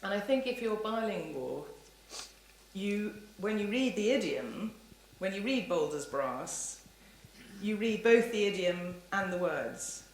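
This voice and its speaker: A woman's voice: mid-range voice, animated, slow. A woman lecturer answering audience questions in a thoughtful, discursive, hedging way. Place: indoor lecture hall. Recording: roomy lecture hall, faint background noise.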